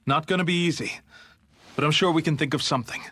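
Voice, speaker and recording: An actor playing a calm, protective and authoritative male resistance leader in a video game. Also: a little high, fast talker, husky, dry recording. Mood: measured, concerned. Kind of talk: video game cutscene acting.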